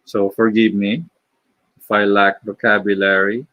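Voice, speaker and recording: A man from the Philippines with a Filipino accent, chatting casually on a live stream while drawing, halting, with many fillers and restarts. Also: on the low side, little pitch movement, bright, forceful tone, no echo, low-quality recording. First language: Filipino (Tagalog). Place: home.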